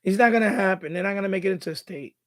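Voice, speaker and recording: A man's voice: high-pitched, very fast, a bit bright, no room sound, studio quality. A man from the Bronx, chatting casually as a live stream host, thinking aloud and repeating himself. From Bronx, New York City, USA.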